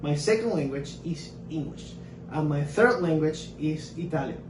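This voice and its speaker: A man whose first language is Spanish, an English teacher and fluency coach, giving a casual lesson with energetic, emphatic repetition. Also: fairly high pitch, normal speed, roomy sound, far from the mic, background noise. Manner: energetic, emphatic repetition, talks in short bursts.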